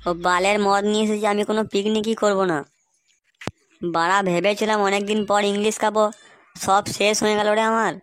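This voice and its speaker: A woman's voice: medium pitch, little pitch movement, fairly quick, a little hiss or hum, close to an everyday voice. A woman voicing a bossy, grumbling young man who swears and scolds his friends in a cartoon. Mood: furious. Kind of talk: Bengali cartoon dubbing.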